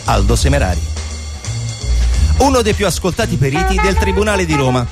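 A man's voice: sits high, sing-song, fairly quick. A man delivering dramatic scripted narration as a theatre narrator, emphatic and ironic. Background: music.

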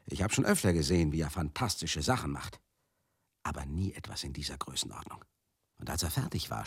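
An actor playing a rambling, excitable manager who reminisces in a confiding, theatrical monologue for a radio play. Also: male voice, low-pitched, breathy edge, dry recording, clean studio sound, full character voice. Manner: conversational, confiding, theatrical, halting and then bursting out. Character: rambling, excitable manager reminiscing wistfully about old glory days.

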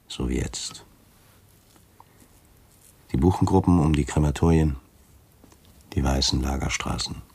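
A self-important camp commandant in a radio drama. The voice is low and husky, recorded very dry. He speaks solemnly and stiffly, with wary hesitation. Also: no echo, heavily acted. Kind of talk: German radio play acting.

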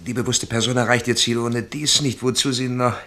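Man playing an obsequious, gravelly court cook. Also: mid-range voice, flat delivery, fairly quick, a bit bright, natural voice. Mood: deferential, wary. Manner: humble, evasive, ceremoniously polite. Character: obsequious, cautious master cook at a royal capital. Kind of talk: German radio drama acting.

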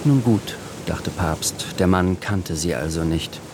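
A man narrating a literary radio drama in a measured, deliberate storytelling manner. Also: deep voice, noisy background.

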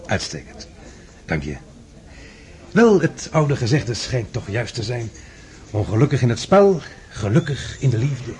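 An actor playing a suave, taunting gambler in a radio drama, mock-courteous and smug. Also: male voice, mid-range voice, big pitch swings, a little slow, long pauses, a little mellow. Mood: mock-polite, needling. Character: suave, taunting male gambler, coolly gloating over his victim. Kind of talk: radio drama acting in Dutch. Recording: radio play with crowd noise behind.

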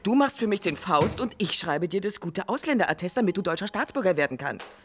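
A scheming woman editor in a radio drama, chatty and insistent. Her pitch moves around a lot, and there is faint noise behind her. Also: big pitch swings, a little fast. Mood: pushy, scheming. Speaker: actor in a radio play. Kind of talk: conversational radio drama acting.

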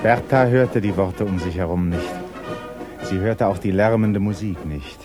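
An actor narrating a literary 1950s German radio drama as a composed, observant storyteller. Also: male voice, low voice, unhurried, over loud music.

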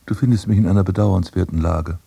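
An actor playing a proud, defiant blinded prince and landscape gardener in a literary radio play, declamatory and haughty. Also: male voice, deep voice, steady tone, quick pace, vocal fry, dark tone, slightly rough, faint music behind, full character voice. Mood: melancholy.

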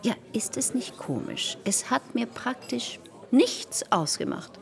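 Radio drama salon hostess: callous and self-justifying, very animated, declaiming then confiding. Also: female voice, a little high, slow pace, gravelly, full character voice. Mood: blasé, confiding. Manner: theatrical, declaiming with swings into hushed confiding. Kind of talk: German radio drama acting.

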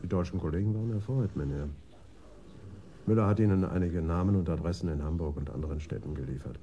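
Deferential policeman, played by a man, radio crime drama: formal, courteous, deep and extremely dark voice, slight background ambience. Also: quick pace, lo-fi sound, a little hiss or hum, natural voice. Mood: reporting calmly. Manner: polite, formal reporting. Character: courteous male Amsterdam police officer, deferential, reporting.

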